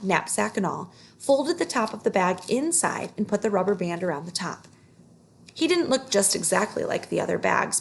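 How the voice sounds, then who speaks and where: A woman's voice: medium pitch, vocal fry. A woman reading a children's story aloud, phrasing it carefully and expressively.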